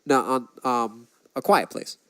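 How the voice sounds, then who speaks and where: A man's voice: medium pitch, measured pace, pushed and bright, clear, resonant tone, dry recording. A man co-hosting a movie podcast, chatting casually and enthusiastically about films.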